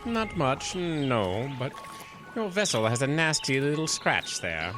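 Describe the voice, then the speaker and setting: A man's voice: medium pitch, very animated, slow, over music. An actor playing a pompous, eccentric old man who rules his own island, with grandly formal, courteous yet menacing speech in an audio drama. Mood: unctuous politeness. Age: older.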